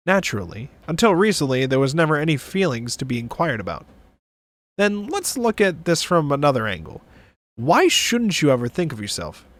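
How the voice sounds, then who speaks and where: A man voicing a wry, caring male space pilot with expressive delivery in a visual novel. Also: fairly high pitch, moderate pace. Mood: earnest, probing.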